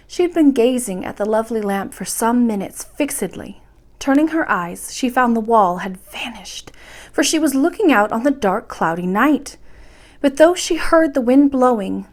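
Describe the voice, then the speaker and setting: A woman narrates a children's bedtime story aloud. Her voice sits fairly high and moves expressively through a wide range of pitch.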